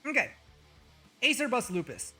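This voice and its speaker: A man streaming as a VTuber, joking and trash-talking with over-the-top, profane bragging, his voice a little hoarse. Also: very high-pitched, big pitch swings, normal speed, bright, forceful tone, soft background music. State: slightly hoarse. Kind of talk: comedic livestream banter.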